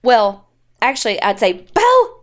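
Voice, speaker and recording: A woman's voice: a little high, huge pitch swings, unhurried. A woman co-hosting a podcast, chatting casually with plenty of swearing and self-deprecation. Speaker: podcast co-host. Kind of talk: casual, profane banter.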